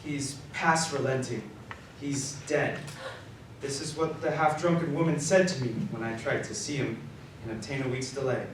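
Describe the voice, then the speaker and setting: An actor playing an earnest, indebted husband in a live radio drama, grave and measured. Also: male voice, medium pitch, close to an everyday voice. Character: Caroline's husband, earnest indebted man, grave yet hopeful. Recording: echoey live stage, distant mic, faint noise.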